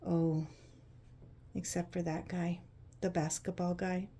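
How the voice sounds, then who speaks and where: A woman narrating a picture book to children in an expressive, conversational way, using simple explanations and checking in with questions. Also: lowish voice, slow pace, breathy edge. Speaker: children's read-aloud host.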